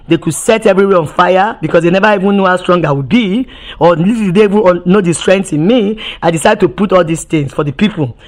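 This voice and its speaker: A woman activist giving impassioned testimony, emphatic, often repeating and restarting words and firing off rhetorical questions. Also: lowish voice.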